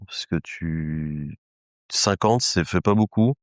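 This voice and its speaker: A man from Lyon with a low voice talks as a podcast guest. He wanders through his answers with hesitations, restarts and casual slang. The recording is dry and close. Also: slow pace, dry recording. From Lyon, France. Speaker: illustrator, guest. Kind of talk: podcast interview.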